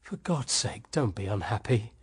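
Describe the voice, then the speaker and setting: An actor with a British accent playing a weary, embittered husband in a radio drama, wry yet tenderly affectionate. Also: male voice, fairly low pitch, lively intonation, normal speed, breathy edge, exaggerated voice. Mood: bitter, despairing. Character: weary, embittered husband, tender toward his young sister-in-law.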